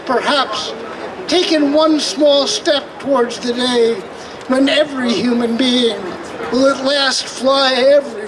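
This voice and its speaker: A man reciting his poems at an outdoor rally in a measured, declamatory way. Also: extremely high pitch, distant mic, low-quality recording. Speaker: poet. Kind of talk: spoken-word poetry reading. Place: outdoor urban park rally. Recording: crowd and street noise, off-mic.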